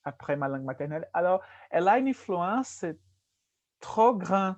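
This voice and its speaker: A man's voice: high voice, very animated. Patient man teaching French. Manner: patient, corrects gently, repeats phrases slowly. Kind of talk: conversational language lesson.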